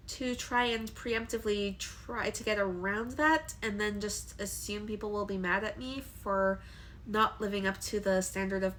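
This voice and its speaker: Young adult woman, vlogger, stuffy allergy-congested voice, mid pitch, hesitant with ums, faint noise behind. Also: fairly bright tone. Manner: hesitant, with frequent ums and restarts. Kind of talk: candid personal vlog talk.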